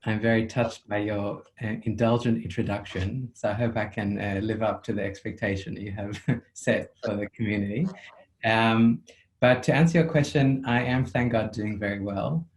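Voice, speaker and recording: A psychotherapist with a South African accent, answering interview questions in a measured, reflective way over a video call, with frequent ums. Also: male voice, lowish voice, fairly even intonation, slight background noise, low-quality recording.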